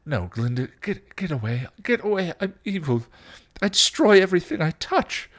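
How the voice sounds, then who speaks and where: An anguished, insolent vampire from a storybook, as a male narrator puts him on. The voice jumps well above a low register, loud and frantic, full of self-loathing, pleading and spitting slang. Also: sing-song, normal speed. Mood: frightened, pleading.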